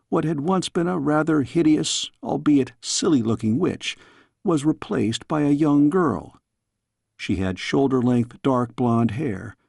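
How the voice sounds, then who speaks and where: A man reading a storybook as scripted audiobook narration, in a measured, expressive storytelling way. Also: mid-range voice, vocal fry, husky, no echo, clean studio sound. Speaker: audiobook reader.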